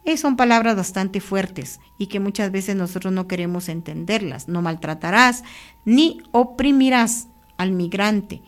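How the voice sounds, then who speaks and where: A Guatemalan woman hosting a church radio program, greeting listeners and reflecting on scripture in a steady, unhurried pastoral way from a radio studio booth. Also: mid-range voice. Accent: Guatemalan Spanish.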